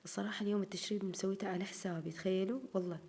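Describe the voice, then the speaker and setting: Tired Iraqi woman chatting fast. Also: medium pitch, fairly even intonation, dark tone. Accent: Iraqi Arabic. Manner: chatty, addresses viewers as girls. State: tired, slightly strained voice. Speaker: home vlogger. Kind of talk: casual voice-over narration of a home routine.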